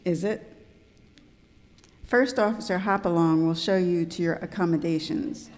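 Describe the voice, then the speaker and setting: A woman's voice: lowish voice, a little slow, a little mellow, lightly acted. A woman playing a formal, evasive ship captain in an amateur live radio skit, recorded in an echoey, noisy hall. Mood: cagey.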